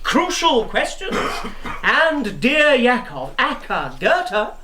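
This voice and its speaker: An actor playing a tipsy, sardonic British intelligence officer in a radio drama, talking in a theatrical way. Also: male voice, very high-pitched, huge pitch swings, drawn-out words, echoey room, noisy background, heavily acted.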